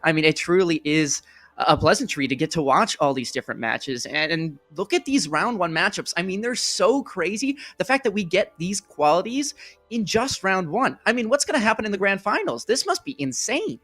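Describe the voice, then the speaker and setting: A man's voice: high-pitched, big pitch swings, normal speed, fairly bright tone, soft background music. A man hosting an esports broadcast in casual, rambling live desk chat, jokey, self-deprecating and enthusiastic. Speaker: esports broadcast host and caster.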